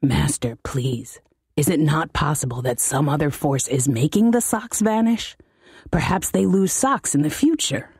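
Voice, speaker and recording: A woman's voice: sits low, animated, a little slow, darkish tone, slightly rough, no room sound, studio quality, exaggerated voice. A woman voicing a scheming, cowering old male demon servant who pleads in a servile way, in an audiobook story. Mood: timid, pleading.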